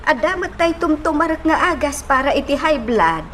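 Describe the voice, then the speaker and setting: A woman's voice: sits high, animated, moderate pace, fairly bright tone, a little hiss or hum. A woman acting a sturdy, industrious older woman in an Ilocano radio drama, homely and conversational. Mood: determined, eager.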